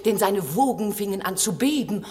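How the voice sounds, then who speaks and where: Radio drama casting: dutiful sprite, lyrical and swooping in pitch, heard in a long echoing hall. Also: female voice, moderate pace, breathy edge. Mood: exultant, vivid. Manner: declamatory, lyrical, lively. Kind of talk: classic German radio drama, heightened verse delivery.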